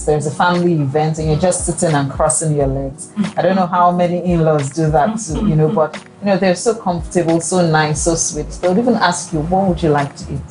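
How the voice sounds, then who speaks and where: A woman's voice: sits low, darkish tone, low-quality recording. A woman, a podcast guest, chatting casually and teasing lightly. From Nigeria. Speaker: guest, wife.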